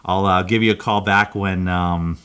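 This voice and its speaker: A man's voice: low-pitched, steady tone, normal speed, fairly bright tone, smooth. A man who makes videos, chatting casually with a friend in an enthusiastic, informal way and often repeating himself. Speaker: video creator, challenge contestant. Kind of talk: casual chat between friends.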